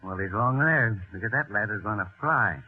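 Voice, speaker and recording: An actor playing a brisk, tough, streetwise policeman in 1940s radio style. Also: lowish voice, expressive, close to an everyday voice. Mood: dryly scornful. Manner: matter-of-fact, streetwise. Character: brisk tough crooked policeman named Jerry. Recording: muffled old radio recording.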